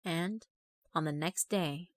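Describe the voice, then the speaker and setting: A woman narrating a horror visual novel in a measured, dramatic storytelling manner. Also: fairly low pitch.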